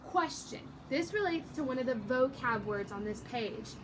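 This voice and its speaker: A woman teaching young children through an interactive read-aloud, animated and exaggerated, prompting with questions and praise, with some background noise. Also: sits high, normal speed. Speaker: teacher reading a picture book aloud to young pupils.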